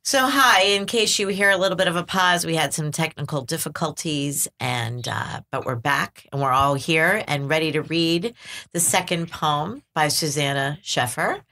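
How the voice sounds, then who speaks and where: A woman's voice: deep voice, normal speed, pushed and bright. A woman hosting a literary podcast, upbeat and leading the conversation. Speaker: podcast host. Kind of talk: casual literary discussion.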